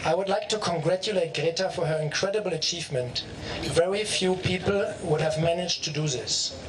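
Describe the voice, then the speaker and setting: A man's voice: on the high side, brisk. A man, the captain of a yacht, giving a factual welcome speech and reporting the figures of a voyage one by one. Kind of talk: public speech at an outdoor welcome. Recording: noisy outdoor crowd recording.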